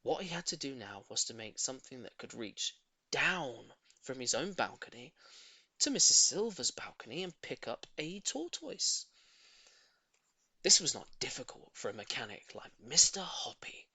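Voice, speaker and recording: Animated, bright-voiced storybook reader, a man. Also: mid-range voice, normal speed, dry recording. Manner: expressive, theatrical emphasis. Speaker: audiobook reader. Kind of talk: animated children's storybook narration.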